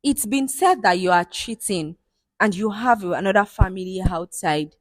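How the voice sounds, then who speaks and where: A woman's voice: mid-range voice, moderate pace, dry recording, natural voice. A woman with a Nigerian accent voicing a wronged young wife who accuses her husband. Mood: angry. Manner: shouting accusations.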